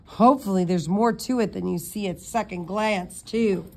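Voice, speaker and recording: A woman's voice: medium pitch, moderate pace, vocal fry. A woman voicing a chatty young girl spy in a storybook, with a casual, youthful manner. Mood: wry.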